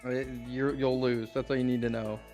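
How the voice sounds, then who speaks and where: A woman with a very deep voice, bluntly teasing her friends during a game session. It is casual chat, with faint music underneath. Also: flat delivery, moderate pace, warm tone.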